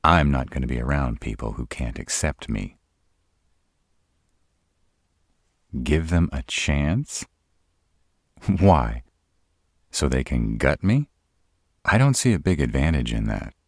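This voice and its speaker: A sarcastic young vampire, played by a man in an audio roleplay, speaking low with some gravel. He is courteous on the surface, dry and defiant underneath, and the recording is close and dry. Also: expressive, slow, long pauses, no room sound, heavily acted. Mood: dry, stubborn. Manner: polite, dry, defensive. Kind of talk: roleplay audio drama acting.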